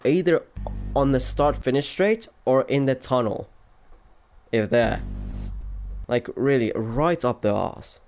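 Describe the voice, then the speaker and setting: Slow-talking young man, Polish-Finnish accent, gravelly low voice, tired and rambling; faint background hum. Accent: Polish, mixed with Finnish. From Poland. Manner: rambling, laughs often, trails off quietly. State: tired from no sleep. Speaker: racing league commentator. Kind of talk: casual chat.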